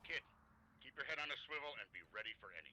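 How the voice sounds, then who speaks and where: An actor playing a gruff, wary veteran mech pilot, terse, in video game dialogue. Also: male voice, mid-range voice, lively intonation, very fast, pushed and bright, a little hiss or hum, rough recording.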